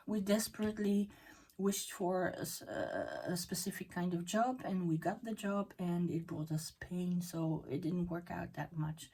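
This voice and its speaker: A woman speaking English leads a tarot reading at an unhurried, gentle pace. Her voice is mellow and a little husky, pitched slightly low, and she often drops to a breathy near-whisper and stops to hunt for words. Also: low-quality recording. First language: Dutch. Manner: halting, with many fillers and restarts. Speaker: tarot reader. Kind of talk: monthly tarot reading video.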